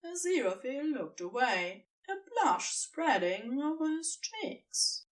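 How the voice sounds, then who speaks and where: A woman narrating fiction from a script in an expressive storytelling style. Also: high-pitched, slow, far from the mic.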